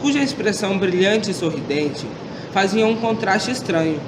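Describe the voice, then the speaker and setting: Brazilian guy narrating a school radio play, reads it out carefully, voice pretty high, faint hiss. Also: expressive, normal speed. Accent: Brazilian Portuguese. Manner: careful read-aloud delivery. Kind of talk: reading narration in a student radio drama.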